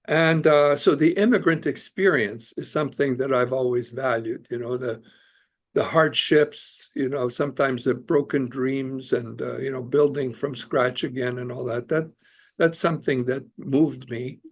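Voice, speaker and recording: A man's voice: medium pitch, steady tone, a little slow. An older Canadian-born man, an immigration lawyer and author, talking earnestly as a podcast guest with many fillers and restarts. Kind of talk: casual interview conversation.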